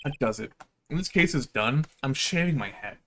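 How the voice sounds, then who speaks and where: A man's voice: medium pitch, lively intonation, moderate pace, a bit bright, slight background noise. A man livestreaming with slangy, playful, rambling casual chat to his viewers. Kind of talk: casual livestream chat, reading visual novel aloud.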